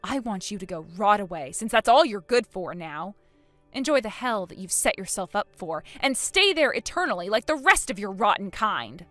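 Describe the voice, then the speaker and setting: A woman's voice: mid-range voice, sing-song, normal speed, a bit bright, soft background music. A woman playing a protective, outspoken mother in a melodramatic audio story, speaking earnestly and with emphasis. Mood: cold, scornful. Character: fiercely protective, outspoken, emotional mother of a young son.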